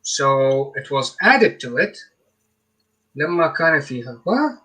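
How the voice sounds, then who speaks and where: Co-host: young Iraqi-accented man, crisp and bright tone, halting delivery full of fillers. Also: on the high side, very animated. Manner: hesitant, many fillers and restarts. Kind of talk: casual commentary on scripture.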